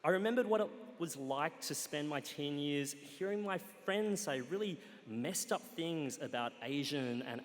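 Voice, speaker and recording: A man with an Australian accent telling a comic personal story on stage, wry and playful, with deadpan punchlines. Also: on the high side. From Australia, Sunshine Coast, Queensland. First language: Cantonese. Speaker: guest storyteller. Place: stage in a live event hall with an audience. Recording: reverberant live hall.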